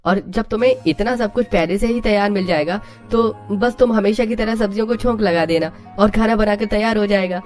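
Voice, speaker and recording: A woman's voice: mid-range voice, brisk, hardly any pauses, darkish tone, faint music behind, lightly acted. A woman playing a concerned, reasonable adult in a family story, matter-of-fact and questioning. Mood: reassuring.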